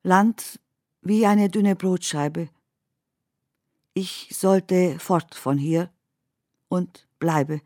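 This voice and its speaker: A woman reciting poetry in a radio play with measured, deliberate phrasing. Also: lowish voice, darkish tone, no room sound, clean studio sound. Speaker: poet reading own text. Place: dry studio.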